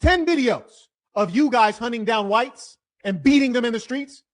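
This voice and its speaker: A man debating hotly, lecturing and combative, his voice dropping low and then rising to shouts. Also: lively intonation, moderate pace. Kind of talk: heated debate.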